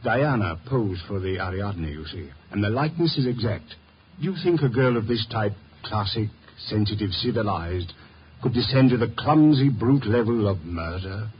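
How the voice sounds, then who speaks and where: A man's voice: lowish voice, animated, normal speed, lightly acted. An actor playing a lofty, theatrical middle-aged sculptor and protective father in a 1950s radio drama, cultured and grandiloquent. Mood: condescending. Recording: muffled 1950s radio, faint noise.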